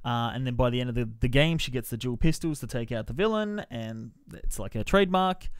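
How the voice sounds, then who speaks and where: A man hosting a podcast, explaining things in a halting, informal way as part of a casual film review chat. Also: medium pitch, expressive, clean tone, no room sound, clean studio sound.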